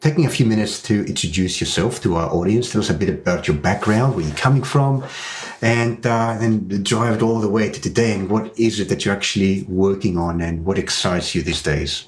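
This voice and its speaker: A man's voice: on the low side, a little fast, hardly any pauses, husky. A man hosting a podcast, reading an emphatic intro and then chatting loosely with fillers. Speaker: podcast host. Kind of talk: scripted intro then casual chat.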